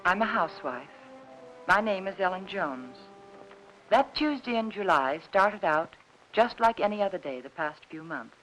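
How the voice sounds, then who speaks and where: An actress playing an anxious, weary young housewife in the style of a 1950s film noir. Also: female voice, medium pitch, expressive, moderate pace, a bit bright, faint music behind, lightly acted, low-quality recording. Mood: weary, reflective. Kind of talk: 1950s film noir acting, first-person narration.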